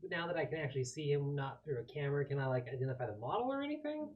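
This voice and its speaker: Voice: medium pitch, very animated, rapid-fire, dull audio. A tabletop roleplaying player talking casually and informally during the game. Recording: faintly noisy room recording.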